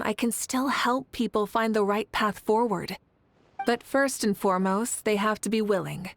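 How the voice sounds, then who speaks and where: A woman voicing a confident, composed leader in a video game, speaking in a measured, articulate and thoughtful way over music. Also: medium pitch, lightly acted. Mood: composed, earnest. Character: confident, composed warrior leader, a self-described workaholic idealist. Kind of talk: video game character dialogue.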